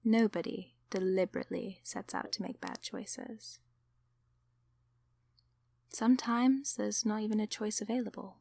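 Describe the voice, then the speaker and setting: A woman voicing a kindly sleep paralysis demon in an ASMR roleplay, encouraging and affectionate in a soft, soothing, often whispered delivery. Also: medium pitch, a little slow, gravelly, pauses often, no room sound. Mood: soothing, reassuring.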